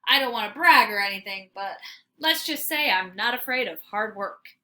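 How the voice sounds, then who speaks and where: A woman voicing an eager, boastful small young male dog in a storybook, excitable and cocky. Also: on the high side, normal speed.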